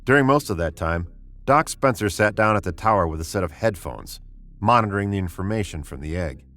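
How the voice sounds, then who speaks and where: An actor playing a commanding spaceship captain, terse and grim, in the style of old-time sci-fi radio drama. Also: male voice, deep voice, lively intonation, brisk, fairly bright tone. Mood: grave narration. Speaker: actor and story narrator.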